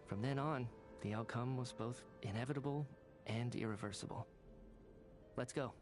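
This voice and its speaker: An actor playing a resolute survivor leader in a video game, stern, philosophical and exhorting. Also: male voice, medium pitch, moderate pace, music in the background, lightly acted. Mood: solemn. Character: resolute male survivor leader urging others onward. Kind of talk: dramatic video game voice acting.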